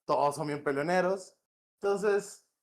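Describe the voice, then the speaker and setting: A man from northwest Mexico with a northern Mexican accent, a game director talking as a podcast guest in a relaxed, slangy, rambling way. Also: high voice, unhurried. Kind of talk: casual podcast interview. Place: home in Morelia.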